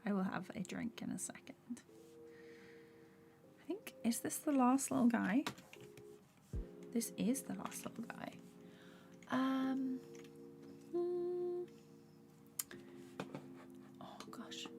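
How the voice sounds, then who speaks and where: Young female streamer, slightly hoarse, soft rambling chat over faint music. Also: high voice, expressive, takes it slowly, long pauses. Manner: rambling, filler-heavy, soft-spoken. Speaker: animator streaming while drawing. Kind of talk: casual live-stream chat while working. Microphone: desktop USB microphone. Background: faint background music.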